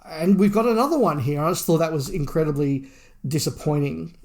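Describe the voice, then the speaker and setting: A man's voice: a little high, lively intonation, brisk, a little mellow. A man co-hosting a podcast, giving an opinionated review in casual discussion, often repeating phrases for emphasis. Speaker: podcast co-host reviewing audio drama.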